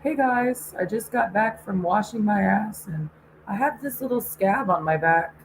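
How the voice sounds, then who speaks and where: A woman's voice: medium pitch, moderate pace, slight background noise, pitched above the performer's own voice, lightly acted, low-quality recording. A woman putting on a fake, overly cheerful male video host in a mocking singsong.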